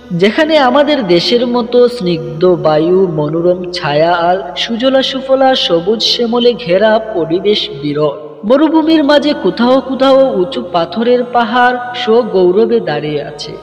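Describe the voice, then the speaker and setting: High-voiced male storyteller in long reverb. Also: very animated, a little slow. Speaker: narrator. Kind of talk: formal scripted religious storytelling. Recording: dull lo-fi, long reverb, music underneath. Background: music.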